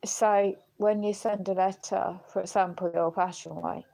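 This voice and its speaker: A woman livestreaming in a rambling, halting way, earnest and questioning, her voice going as if she is unwell. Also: mid-range voice, fairly even intonation, gravelly, mellow, poor audio. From United Kingdom. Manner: halting, searching for words. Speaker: live streamer. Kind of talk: rambling personal livestream talk.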